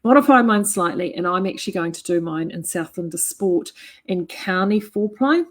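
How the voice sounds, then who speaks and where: Chatty New Zealand woman, husky voice. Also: fairly low pitch, lively intonation, moderate pace. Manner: chatty, enthusiastic, many fillers and restarts. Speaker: yarn shop owner and knitting vlogger. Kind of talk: casual chat to camera. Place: indoors in her yarn shop.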